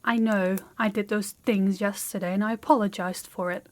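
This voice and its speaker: A woman's voice: mid-range voice, steady tone, natural voice. Woman playing an imperious, haughty young princess. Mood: stern, exasperated. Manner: imperious, deliberate, condescending. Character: commanding, haughty young warring-kingdom princess. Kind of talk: scripted fantasy audio roleplay.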